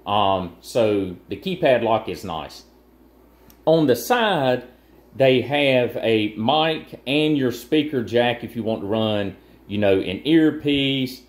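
A man's voice: medium pitch, darkish tone, roomy sound. A man presenting a casual gear overview, explaining things in a plain, matter-of-fact way.

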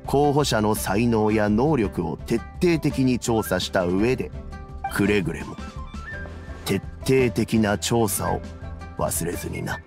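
A man playing a kindly, dignified old elder in a video game, with measured, old-fashioned speech. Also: on the low side, darkish tone, faint music behind. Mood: grave, earnest. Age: older. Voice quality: aged, gravelly.

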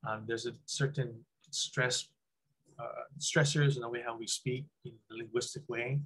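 Husky-voiced man rambling on a video call. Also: mid-range voice, steady tone, normal speed, a bit bright, poor audio. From Hawaii. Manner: rambling, many "um"s and restarts. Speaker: guest speaker. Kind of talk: casual webinar talk. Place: small room indoors. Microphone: webcam on video call.

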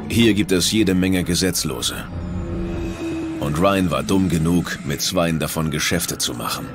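A man's voice: sits low, lively intonation, a little slow. A man playing a stern, weary older mentor who gives exasperated testimony in a scripted true-crime dramatization. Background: music.

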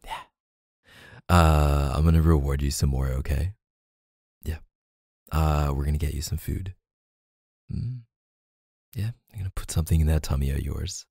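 Man acting as deep, gravelly, teasing boyfriend. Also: no echo, studio quality, heavily acted. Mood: affectionate, praising. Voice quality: low, gravelly, soft. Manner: teasing, murmuring, hesitant pauses and hums. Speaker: audio roleplay performer. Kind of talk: intimate ASMR boyfriend roleplay.

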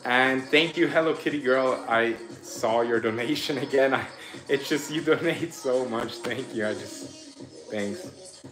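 A man's voice: mid-range voice, measured pace, roomy sound, over music. A man in his twenties from Austria, hosting a casual livestream from a couch, chatting upbeat and thanking viewers for donations. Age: 20s. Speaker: livestream host. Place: living room couch indoors. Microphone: phone camera propped in front.

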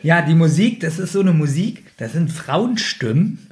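A man speaks in a fairly high voice. He is a podcast co-host, offering his impressions in loose, casual chat. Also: lively intonation, moderate pace, a little mellow.

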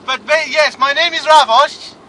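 A man's voice: extremely high pitch, steady tone, moderate pace, sharp tone, slight background noise. A man putting on a dim, confused caller for a prank phone call, rambling and feigning misunderstanding. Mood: bumbling. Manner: bumbling, absurd, feigned confusion. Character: dim foreign-sounding male caller Ravosh.

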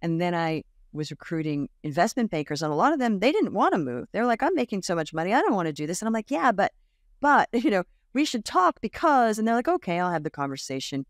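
A woman in her fifties, raised in Australia, telling stories as a podcast guest, lively and acting out the voices of others. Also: mid-range voice, fast talker, no room sound, studio quality. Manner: animated storyteller, acts out quoted voices, laughs often. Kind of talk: casual interview storytelling. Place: home room with bookshelf. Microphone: large studio mic on boom arm, video call.

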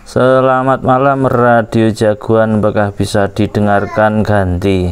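A man speaks as an amateur radio operator. His calls are short and procedural. Loud radio noise and clicking run through the recording. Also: fairly low pitch, flat delivery, measured pace. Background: loud radio hiss and clicks.

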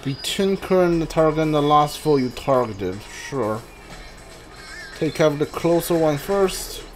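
A man doing casual playthrough commentary, halting and a bit puzzled as he reads game text aloud. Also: on the high side, animated. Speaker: gameplay streamer. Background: game music.

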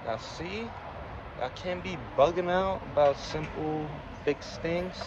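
A man's voice: a little high, slow pace, highs cut off. A young man talking casually to camera in slangy, sweary vlog style, recorded on a phone at arm's length. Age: young adult. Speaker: vlogger. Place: outdoors at a gas station, later inside a car. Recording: loud outdoor and car noise.

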